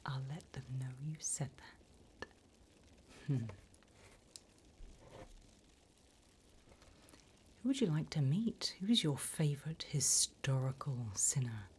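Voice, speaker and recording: A woman voicing a sly, sinister demon interviewer in an ASMR roleplay, in a soft breathy whisper with a teasing, menacingly polite manner. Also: low-pitched, sing-song, measured pace, slight background noise.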